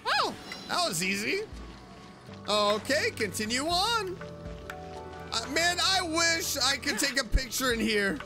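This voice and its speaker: A woman giving casual, slangy gameplay commentary as a streamer, animated and quick to exclaim. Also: high voice. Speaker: streamer, game player. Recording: game music behind the voice. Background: video game music.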